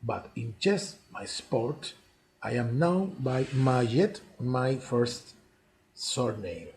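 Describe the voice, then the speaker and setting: A man's voice: medium pitch, animated, drawn-out words, darkish tone, rough recording. Cuban man in his sixties, accented English. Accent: Cuban Spanish. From Cuba. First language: Spanish. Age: 60s. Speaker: chess player narrating own life story. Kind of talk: autobiographical monologue.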